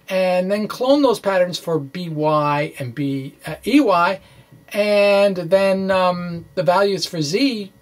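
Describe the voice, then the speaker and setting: A man explaining physics in an informal walkthrough, thinking aloud in a halting way and correcting himself, with wry asides. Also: sits high. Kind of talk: informal math walkthrough, reading and ad-libbing.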